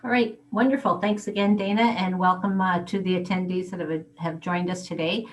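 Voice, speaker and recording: A woman with a slightly low-pitched voice, presenting as a program coordinator. She lays things out in an orderly, measured way, correcting herself smoothly when she slips. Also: fairly even intonation. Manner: organized, measured. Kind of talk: presenting at online info session.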